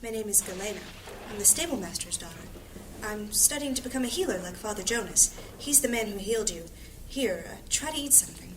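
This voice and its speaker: A woman acts as a gentle young healer in a fantasy audio drama. She speaks quickly and softly, off the mic, with noticeable ambience behind her. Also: medium pitch, lively intonation, natural voice. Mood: gentle, caring. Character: gentle young stablemaster's daughter training as healer. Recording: distant mic, clear background noise.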